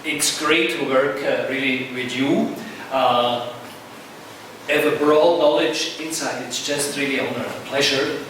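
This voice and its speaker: Swiss-accented male editor, halting non-native English, distant mic in a noisy echoing hall. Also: medium pitch, fairly even intonation. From Switzerland. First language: not English. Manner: halting, many "uh" fillers, warm and earnest. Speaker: journal editor chairing a session. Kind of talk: conference panel remarks. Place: conference room.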